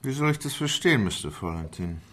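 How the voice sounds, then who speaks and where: Precise unflappable english gentleman, lowish voice, formal and measured, radio play. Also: sing-song. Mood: composed. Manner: measured, formal, matter-of-fact. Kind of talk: German radio play acting.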